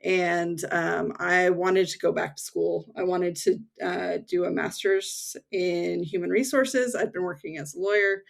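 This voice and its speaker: Low-voiced, gravelly American woman. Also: measured pace, few pauses. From United States. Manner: relaxed, frequent fillers and soft laughs. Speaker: guest. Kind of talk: casual conversation. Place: home in England.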